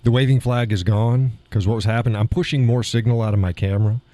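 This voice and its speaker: A man hosting a live stream in casual, rambling chat, with a slight gravel in his voice and chuckles between remarks, recorded in a home studio through a mixer board. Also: mid-range voice, fairly even intonation, fast, mellow, dry recording. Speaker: live show host. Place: home studio in a weight room. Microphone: studio mic through mixer board.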